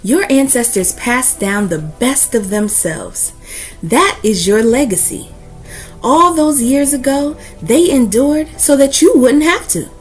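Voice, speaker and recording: A woman reading a children's picture book aloud as host, in a deliberate, emphatic way with dramatic pauses. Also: high voice, expressive, faint music behind, low-quality recording.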